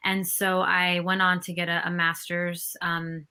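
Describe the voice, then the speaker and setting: A woman from the West Bank, in her thirties, answering interview questions in a thoughtful, measured way with frequent fillers. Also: on the low side, little pitch movement, bright, forceful tone, clear, resonant tone. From West Bank, Palestine. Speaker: guest expert.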